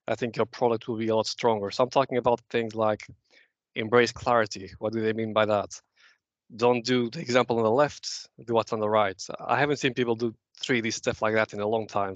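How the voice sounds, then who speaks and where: Man, from Portugal, relaxed slide talk with many restarts, dry and a bit dull on a meeting call. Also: mid-range voice, no echo. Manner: relaxed, explanatory, frequent "uh" restarts. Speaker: presenter at a user group.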